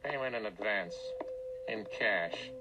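An actor playing a stern, formal hotel desk clerk in a radio drama, clipped and businesslike. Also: male voice, lowish voice, slow, background noise. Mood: stern, firm.

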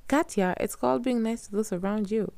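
A woman's voice: on the high side, a little fast, gravelly, a little mellow, no room sound, voice pushed up. A woman voicing a brave, curious young girl who talks eagerly and earnestly in a children's story.

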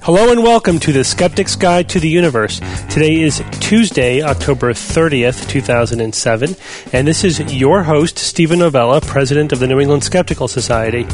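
A man hosting a podcast and leading a casual panel discussion in a measured, explanatory way. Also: a little high, soft background music. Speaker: podcast host.

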